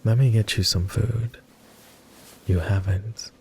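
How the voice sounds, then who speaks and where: A man's voice: lowish voice, fairly even intonation, slow, vocal fry, darkish tone, a little hiss or hum. A man voicing a devoted, world-weary immortal vampire companion in intimate roleplay ASMR, speaking tenderly and earnestly in formal phrasing. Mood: relieved, caring. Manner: tender, earnest, hesitant, somewhat formal speech.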